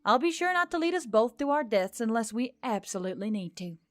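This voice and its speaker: A woman's voice: a little high, sing-song, a little fast, a bit bright, no echo, studio quality, soft background music. A woman voicing a reluctant, frustrated young heroine with a sardonic, informal manner, in an audiobook story. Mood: rueful.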